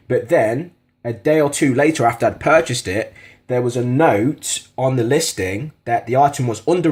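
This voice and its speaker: Male, British, mid-pitched voice. Informal video reviewer, plain-spoken and matter-of-fact in airing complaints. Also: darkish tone. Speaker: product reviewer on a video channel.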